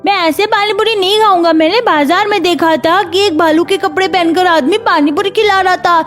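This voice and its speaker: Woman voicing a very high-pitched spoiled little boy. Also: normal speed, fairly bright tone, soft background music. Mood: bossy demanding. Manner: whiny, insistent. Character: spoiled, demanding little boy, whiny and bossy. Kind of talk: children's cartoon dubbing.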